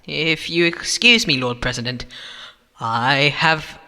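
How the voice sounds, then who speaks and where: Guy doing a stern pompous time lord boss, forceful bright voice, sci-fi audio play. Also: on the high side, slow pace, big-room sound, slight background noise, exaggerated voice. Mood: formal, irritated. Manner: pompous, formal, commanding. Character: stern, officious male Time Lord agency coordinator. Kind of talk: sci-fi audio drama acting.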